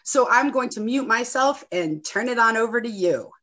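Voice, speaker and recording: A woman's voice: fairly high pitch, animated, normal speed, a bit bright, lo-fi sound. A woman hosting an online training, friendly and organized, speaking over a video call. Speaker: event host. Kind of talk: online meeting introduction.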